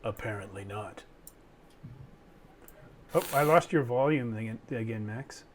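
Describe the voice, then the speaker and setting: A man's voice: fairly low pitch, measured pace, slight background noise. A man playing a tabletop game, moving casually between his character and table talk.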